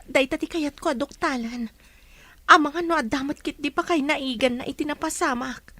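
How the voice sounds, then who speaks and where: A woman's voice: high voice, moderate pace, sharp tone, dry recording. A woman with an Ilocano accent playing a determined, suspicious young female investigator in a radio drama, questioning insistently. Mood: firm, suspicious.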